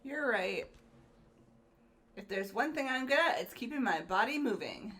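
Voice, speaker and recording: A woman's voice: on the high side, expressive, a little slow, fairly bright tone, slightly rough, exaggerated voice. A woman voicing a perky, sporty teenage girl in a game playthrough, girlish and candid. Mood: upbeat. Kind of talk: voiced video game playthrough.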